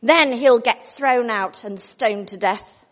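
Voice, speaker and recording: A woman's voice: fairly high pitch, takes it slowly, fairly bright tone, big-room sound, dull audio. A woman voicing a scheming, domineering queen who orders her husband and servants about. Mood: commanding. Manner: commanding, coaxing.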